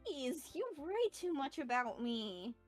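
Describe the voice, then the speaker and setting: Woman doing a very high, falsely cheerful schoolgirl. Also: lively intonation, a little slow, no echo, soft background music. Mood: forced cheer. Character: bubbly teenage girl hiding her sadness, falsely cheerful. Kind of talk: amateur visual novel voice acting.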